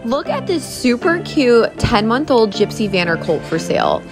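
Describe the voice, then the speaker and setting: A woman's voice: a little high, sing-song, normal speed. Gushing woman vlogger over music. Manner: gushing, enthusiastic. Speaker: vlogger and horse owner. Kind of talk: casual vlog narration.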